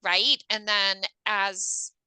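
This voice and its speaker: A woman's voice: mid-range voice, fairly even intonation, drawn-out words, bright, forceful tone, smooth, no echo. A woman hosting a podcast, telling personal stories in a rambling, self-aware way during a casual interview. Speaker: podcast host.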